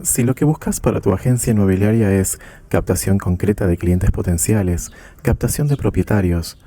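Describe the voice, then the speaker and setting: Brisk, dark-voiced male ad announcer. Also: low voice, no room sound. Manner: brisk promotional delivery. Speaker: sponsor announcer. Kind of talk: read advertisement.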